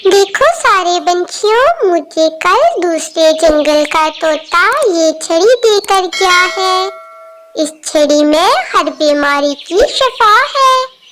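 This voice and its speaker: A woman's voice: very high-pitched, lively intonation, slow, voice pushed up, full character voice. A woman voicing a humble, kindly female bird in a children's cartoon, speaking politely and earnestly. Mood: announcing. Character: humble, kindly poor female bird with a magic healing stick. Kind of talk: children's cartoon moral story. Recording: very noisy, birdsong behind.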